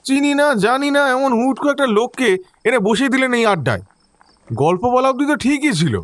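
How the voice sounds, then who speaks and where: Man playing a high-pitched, scornful city clerk. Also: no echo. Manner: irritated, sneering, emphatic. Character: scornful, sceptical young city office worker.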